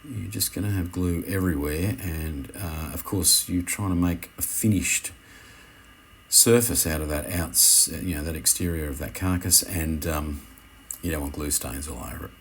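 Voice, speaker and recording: A man giving an unscripted how-to commentary as an instructor, speaking in a halting way and often correcting himself. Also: rumbling low, a little hiss or hum. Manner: hesitant, halting, self-correcting.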